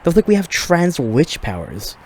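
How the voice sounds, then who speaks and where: A man's voice: on the high side, wildly swooping pitch, a little slow, slight background noise, full character voice. A man playing a flirty, teasing demon who reports and hosts in a fiction podcast. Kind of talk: fiction podcast banter and monologue.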